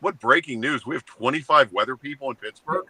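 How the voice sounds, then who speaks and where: A man in his seventies co-hosting a casual talk show, joking bluntly and ranting in short, flat statements. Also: mid-range voice, a little fast, husky. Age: 70s. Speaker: co-host. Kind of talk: casual chat.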